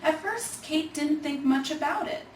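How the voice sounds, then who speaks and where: High-voiced woman reading a storybook, echoey room. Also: lively intonation, measured pace. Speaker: Alzheimer's organization staffer reading a children's book. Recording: echoey room, distant mic, faint noise.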